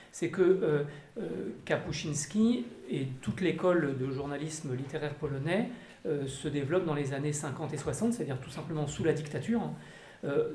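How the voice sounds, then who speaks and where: Measured lecturing panelist, echoey room. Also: medium pitch, warm tone, slight background noise. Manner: measured, lecturing, with some fillers. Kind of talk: round-table discussion, explanatory. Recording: echoey room, faint ambience.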